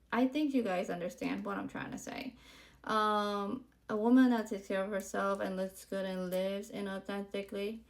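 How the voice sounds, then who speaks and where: A woman's voice: mid-range voice, gravelly. A woman in her forties from Puerto Rico, hosting her podcast in a candid, chatty monologue full of ums and likes. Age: 40s. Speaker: podcast host. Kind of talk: casual monologue to camera.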